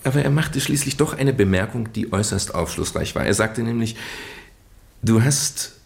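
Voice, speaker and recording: A man acting in a German radio drama as a British gentleman nephew, earnestly and haltingly confessing a well-meant deception in genteel, roundabout phrases. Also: lowish voice, animated, gravelly. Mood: earnest, confiding. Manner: hesitant, roundabout, genteel storytelling with stammered repeats.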